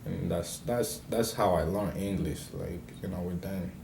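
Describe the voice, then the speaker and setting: A young man from the Dominican Republic introducing himself on video, halting with many fillers and restarts. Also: low-pitched, vocal fry, echoey room, background noise. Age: young adult. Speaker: graduating senior.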